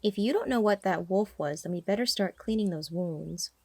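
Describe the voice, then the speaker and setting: A woman plays the practical healer of a werewolf pack in an audio roleplay. She has a mid-range voice and a brisk, caring, matter-of-fact manner. The sound is dry and close. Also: medium pitch, darkish tone, no room sound, natural voice. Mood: alert, concerned.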